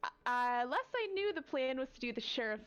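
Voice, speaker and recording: A woman's voice: sits high, sing-song, normal speed, fairly bright tone, smooth, soft background music. A woman chatting casually as a player in a live tabletop roleplaying game. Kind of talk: casual chat in live play.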